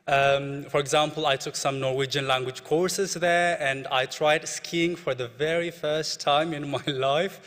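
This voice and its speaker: A man's voice: a little high, steady tone, a little slow, few pauses, fairly bright tone. A young man from Ethiopia with an Ethiopian accent, presenting his research as a PhD student in a short personal conference talk. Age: young adult. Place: large hall at a conference. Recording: echoey hall with long reverb.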